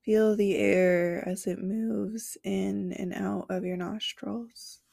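A woman hosting a podcast, leading a guided meditation with slow, soothing instructions and long pauses, her nose stuffy from a cold. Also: mid-range voice, fairly even intonation, gravelly, warm tone.

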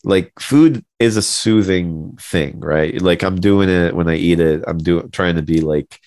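Male podcast co-host, low deep register, close dry sound, loose buddy-to-buddy delivery. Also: unhurried, a little mellow, no echo. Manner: informal, uses "bro" and "man". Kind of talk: casual chat.

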